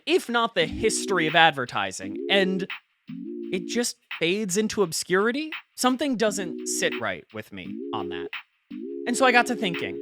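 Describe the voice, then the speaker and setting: An earnest conspiracy podcast host played by an actor speaks in a mid-range voice with a crackly fry. The delivery is wry and conversational, treating absurd theories with mock seriousness. Intonation moves widely, leaning hard on key words. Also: medium pitch. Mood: animated, skeptical. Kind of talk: podcast monologue, conspiratorial rant.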